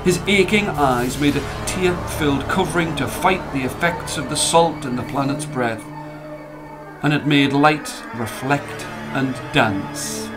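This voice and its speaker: Male storyteller for audio fiction: slightly high voice, dramatic scripted read, clear music bed. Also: unhurried, poor audio. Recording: music playing under the voice.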